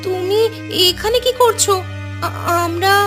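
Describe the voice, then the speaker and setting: A woman voices a timid young village daughter in a cartoon. Her high voice falters with anxious hesitation, set against clearly audible music. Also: takes it slowly. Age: young adult. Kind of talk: cartoon voice acting. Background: background music.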